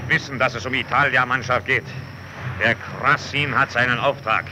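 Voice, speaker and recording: An actor playing a gruff Soviet icebreaker captain, terse and commanding, in a noisy old German radio play. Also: male voice, medium pitch, steady tone, normal speed, lightly acted.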